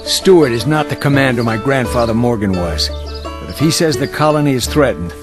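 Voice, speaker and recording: An actor playing a bold, gruff and resolute mercenary commander in a game cutscene, with a commanding manner. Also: male voice, medium pitch, normal speed, over music, close to an everyday voice. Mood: steady. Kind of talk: scripted game cutscene acting.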